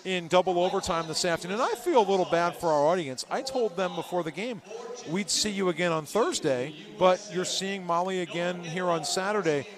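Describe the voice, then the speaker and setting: A man's voice: high-pitched, a little fast, hardly any pauses, a crowd in the background. A middle-aged American man hosting a post-game sports interview on a headset mic. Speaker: sports broadcast host. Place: courtside in an indoor gym.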